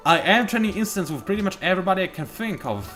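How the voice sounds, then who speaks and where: Let's-play host, male, fairly high voice, chatty and self-mocking, faint music under him. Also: big pitch swings, quick pace, bright, forceful tone. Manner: chatty, joking and self-mocking, thinking aloud. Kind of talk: casual gameplay commentary.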